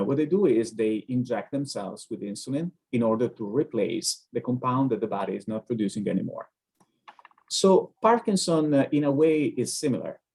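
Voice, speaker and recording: A man with an Italian accent, a neurologist explaining things on a video-call webinar, pausing often with hesitant fillers. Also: medium pitch, gravelly, mellow, slightly rough. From Italy.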